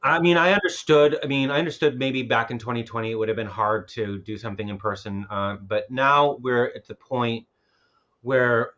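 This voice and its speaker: A man's voice: mid-range voice, fairly even intonation, moderate pace, a bit bright, highs cut off. A man speaking casually as a filmmaker and festival organizer on a panel, rambling and often repeating words as he goes.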